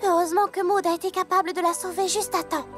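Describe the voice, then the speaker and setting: Very high, sincere cartoon pony. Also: female voice, fairly even intonation, fast talker. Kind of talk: French animated series dub. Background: music.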